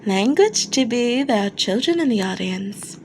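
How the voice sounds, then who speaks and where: A woman's voice: on the high side, animated, measured pace, a little mellow. A woman voicing a playful, coaxing male rock singer with a showman's manner in a fan fiction reading. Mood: teasing. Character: teasing, theatrical male rock band singer, smooth showman. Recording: noticeable background noise.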